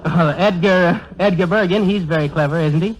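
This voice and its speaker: Male actor as eager comedy straight man: flowery talker, slightly high voice, dull 1930s radio sound. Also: normal speed, background noise, natural voice. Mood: chatty, coaxing. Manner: flowery, effusive vocabulary, stammering repeats. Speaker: comedian in a double act.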